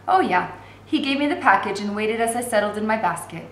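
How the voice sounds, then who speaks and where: A woman in her forties reading a novel aloud as an expressive narrator. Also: medium pitch, fast. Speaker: reader of a novel for learners. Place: indoor room at home. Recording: roomy echo with faint background noise.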